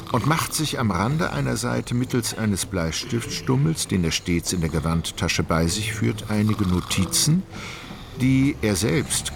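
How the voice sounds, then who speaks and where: A man narrating a literary radio play in a measured, descriptive way. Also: medium pitch, noisy background. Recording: clearly audible background ambience.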